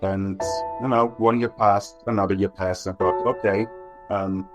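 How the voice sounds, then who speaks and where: A man from Austria, a film director being interviewed, speaking in a halting, reflective way. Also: sits low, steady tone, muffled recording, music in the background. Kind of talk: interview storytelling.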